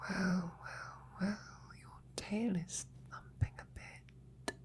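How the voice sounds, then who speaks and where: A woman playing a devoted, flirtatious female knight in an intimate roleplay, with a breathy, husky, often whispering voice and courtly phrasing. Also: on the low side, steady tone, slow pace. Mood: teasing, flirtatious. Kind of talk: intimate roleplay audio, ASMR.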